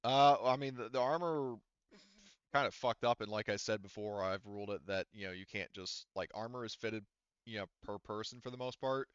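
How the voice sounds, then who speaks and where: A man's voice: lowish voice, fairly quick, smooth, no room sound, lo-fi sound. A man speaking casually as a game master in a tabletop roleplaying game, thinking aloud and swearing now and then.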